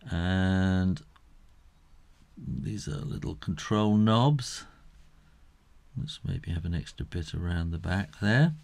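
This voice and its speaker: A middle-aged man giving a casual step-by-step drawing tutorial, unhurried and thinking aloud as he works. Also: deep voice, gravelly, darkish tone.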